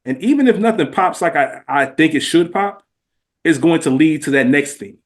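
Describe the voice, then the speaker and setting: A man's voice: a little high, animated, moderate pace. An American man co-hosting a podcast in casual, reflective conversation, earnest and slangy, repeating phrases for emphasis. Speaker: podcast co-host.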